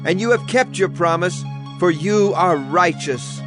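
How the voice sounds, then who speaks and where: An actor playing a devout Levite praying aloud to God, solemn and declamatory, in a dramatized scripture reading. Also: male voice, high-pitched, animated, unhurried, music in the background. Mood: solemn reverence.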